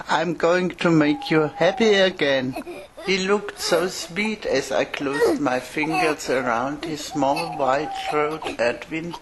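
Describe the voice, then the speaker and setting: A woman's voice: sits low, background noise. An actress playing a deranged, sweetly pitying woman who kills out of mercy, speaking in a tender, cooing way in an audio horror drama. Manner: tender, cooing, slow and dreamy.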